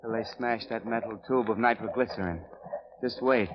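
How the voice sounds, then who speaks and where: A man's voice: lowish voice, steady tone, normal speed, noisy background, close to an everyday voice. An actor playing a nervous, greedy male saboteur, edgy and pushy, in a 1930s radio drama. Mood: muttering. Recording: dull old radio recording.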